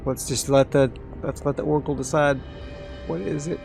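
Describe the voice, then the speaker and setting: Gravelly man narrating a solo game. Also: a little high, normal speed, faint music behind. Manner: talks through dice rolls, excitable asides. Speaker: solo tabletop game player. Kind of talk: solo actual play, thinking aloud.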